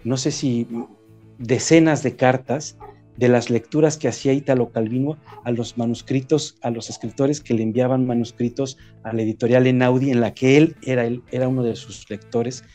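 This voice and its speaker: Mellow-voiced man with a Mexican accent. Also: mid-range voice, steady tone, soft background music. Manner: reflective, halting, with frequent restarts. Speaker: writer being interviewed. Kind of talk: conversational interview.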